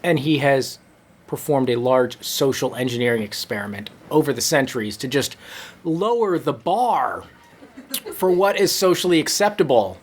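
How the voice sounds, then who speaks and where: A man reading a comic story to listeners with dramatic, drawn-out noir-style narration. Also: medium pitch, lively intonation. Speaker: comedy storyteller.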